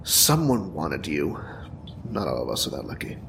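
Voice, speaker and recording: A man's voice: very deep voice, wildly swooping pitch, moderate pace, a bit bright, full character voice. An actor playing a bitter, wounded young male supervillain in an audio drama, taunting and dramatic in manner. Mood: bitter, accusing. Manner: taunting, dramatic, profane, breaking into whispers and laughter. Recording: clear background noise and ambience.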